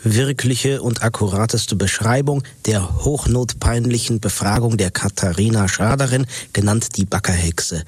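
An actor playing a grumbling, sarcastic boyfriend in a naturalistic radio drama, irritable and scornful. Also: male voice, mid-range voice, slightly rough. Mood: stilted reading.

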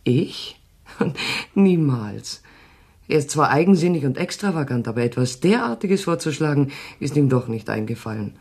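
An actress playing an ambitious, self-pitying French mistress in a German radio drama, insistent and theatrical. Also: female voice, extremely low pitch, big pitch swings, normal speed, darkish tone, breathy edge, exaggerated voice. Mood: insistent, self-assured.

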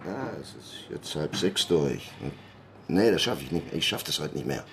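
An actor playing an irritated, harried man on the phone in a radio crime drama, halting, repeating himself and muttering curses. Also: very deep voice, big pitch swings, creaky, a little hiss or hum, heavily acted.

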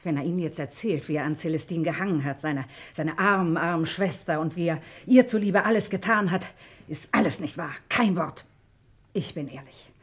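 An actress playing a bitter, accusing farm woman in a German radio crime drama, husky and sharp-tongued. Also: sits low, moderate pace. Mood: bitter, frank. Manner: sharp-tongued, insistent, repeats herself.

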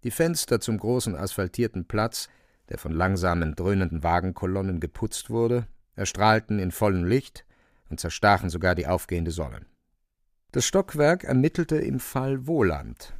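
A man's voice: lowish voice, a little mellow, dry recording, studio quality. A man narrating a literary radio play with theatrical, emphatic phrasing.

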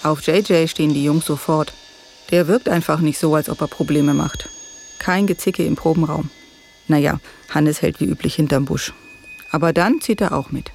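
An actor narrating a radio play about a band in short, wry sentences. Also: male voice, on the high side, moderate pace, creaky, darkish tone, slight background noise. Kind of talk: scripted radio drama narration.

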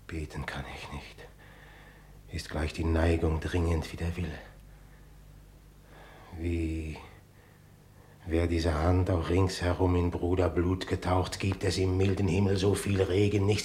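A man's voice: sits low, little pitch movement, measured pace, long pauses, a little mellow, echoey room, a little hiss or hum. An actor playing a tormented, commanding usurper king in a radio tragedy, with heavy declamatory delivery. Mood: anguished, remorseful. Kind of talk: classical stage tragedy radio acting.

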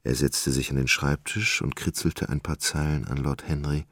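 A man narrating a literary radio drama in a measured, solemn manner. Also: very deep voice, fairly even intonation, fairly bright tone, no room sound.

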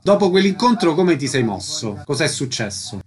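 A man's voice: fairly high pitch, sing-song, normal speed. A man working as a radio interviewer, presenting and putting short, prepared questions.

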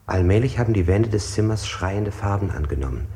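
Low, mellow voice of a haunted interrogated man. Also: animated. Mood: haunted, measured. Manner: deliberate, self-correcting. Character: interrogated man, haunted, recounting and correcting himself. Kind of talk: German radio play acting. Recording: hissy 1960s radio play recording.

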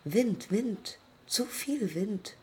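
A woman's voice: medium pitch, lively intonation, drawn-out words, warm tone, husky, full character voice. An actress playing an eager, headstrong young woman pilot of the 1920s in a radio play, telling her story reflectively in clipped fragments. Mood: tense, musing. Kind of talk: radio play monologue and dialogue.

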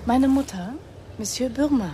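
An actress portrays a young woman, a daughter in a radio crime drama. Her voice is fairly high and mellow, and she speaks tersely and wearily. Faint noise lies behind it. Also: normal speed, lightly acted. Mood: flat, weary.

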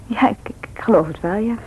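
An actress playing a caring, frank young medical student in a radio drama, earnest and slightly hesitant. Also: female voice, medium pitch, a little mellow, slightly rough, close to an everyday voice. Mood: curious, frank. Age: young adult. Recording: thin, phone-like old radio recording.

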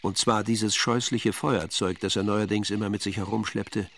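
Low, gravelly male radio drama narrator. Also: fairly even intonation, moderate pace, fairly bright tone, slight background noise.

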